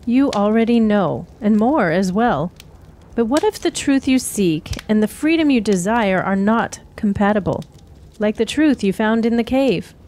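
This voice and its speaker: An actress as a sorrowful witch of a fantasy radio drama, speaking in measured, archaic riddles at a middle pitch. She sounds knowing and grave, with some noise behind her. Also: lively intonation, darkish tone, lightly acted. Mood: probing, cryptic. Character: cryptic, sorrowful wise woman, a witch who counsels youths.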